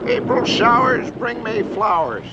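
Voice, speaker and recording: Casting: quirky, very high-voiced cartoon rhymer, singsong delivery, on a dull, noisy old film soundtrack. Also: male voice, sing-song, a little slow, exaggerated voice. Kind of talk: 1950s cartoon rhyme recitation.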